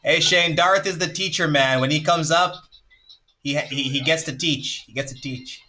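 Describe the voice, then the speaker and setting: A man from Jamaica chatting casually on a live stream, excitable, repeating himself and laughing often. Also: a little high, normal speed.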